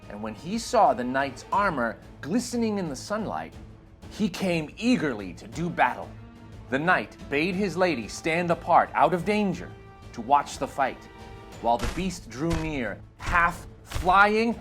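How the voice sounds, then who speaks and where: A man reading a children's adventure story aloud in a theatrical, expressive, dramatic narrating style. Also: fairly high pitch.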